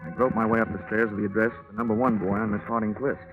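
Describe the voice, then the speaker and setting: An actor playing a wry, hard-boiled American investigator in a 1940s radio detective drama, deadpan and wisecracking. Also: male voice, on the low side, rapid-fire, lightly acted. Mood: hard-boiled, narrating. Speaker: detective narrator. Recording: muffled 1940s radio recording with music.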